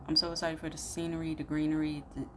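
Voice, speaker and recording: A young woman with a low voice hosts a casual reaction video. Her delivery is blunt and full of slang. Clear noise sits behind her voice in the phone recording. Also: completely flat delivery, fast, darkish tone. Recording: clearly noisy room recording.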